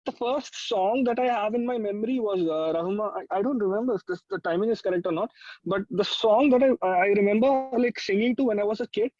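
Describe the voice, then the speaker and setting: A man's voice: sits high, fast talker, a little mellow, no echo, muffled recording. A young man with an Indian accent chatting casually as a musician guest, rambling and enthusiastic as he reminisces. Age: young adult.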